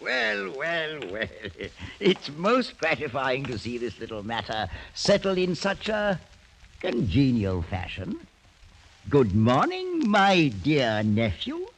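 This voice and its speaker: A man's voice: fairly high pitch, wildly swooping pitch, takes it slowly, vocal fry, exaggerated voice, low-quality recording. An actor playing a sly, gloating old nobleman uncle who savours his words with mock courtesy, in a 1940s radio drama. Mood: smug, amused. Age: older.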